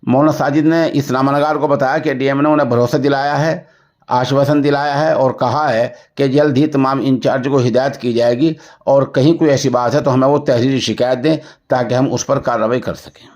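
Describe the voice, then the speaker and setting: A man presenting news and commentary in a formal, earnest, deliberate manner. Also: medium pitch, steady tone, a little mellow. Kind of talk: news report with commentary, formal Urdu register. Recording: dull, lo-fi voice recording.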